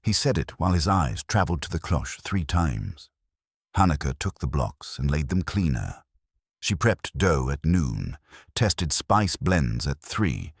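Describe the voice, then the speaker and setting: A man reading a first-person detective mystery as an audiobook narrator, with wry, measured storytelling. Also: a bass voice, breathy edge, no room sound, studio quality.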